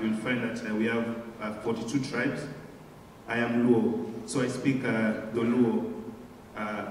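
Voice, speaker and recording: A young Kenyan man in his twenties tells his life story to an audience, pausing often on fillers as he talks. He is picked up from a distance, and his voice rings with a long reverb through a big, echoing hall. A faint murmur of room sound sits underneath. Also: mid-range voice, a little hiss or hum. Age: 20s. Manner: hesitant, frequent filler pauses. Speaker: guest speaker. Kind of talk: personal testimony speech. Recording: echoey hall from far off.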